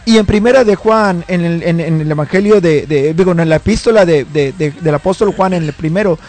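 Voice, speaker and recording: A man's voice: on the high side, a little fast, darkish tone. A male pastor talking on a church program, earnest and building his points with repeated words.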